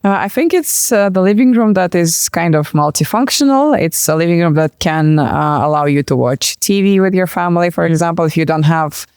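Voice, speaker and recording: A woman from Latvia talks as an interview guest in a smooth, clear voice. She explains in a conversational way with hesitant phrasing and frequent pauses, recorded dry and close. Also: on the low side, lively intonation, a little mellow, dry recording.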